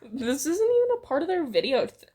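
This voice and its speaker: A woman's voice: sits high, very animated, a little fast, mellow. A woman reading fan fiction aloud in an informal, playful way, with bits of banter.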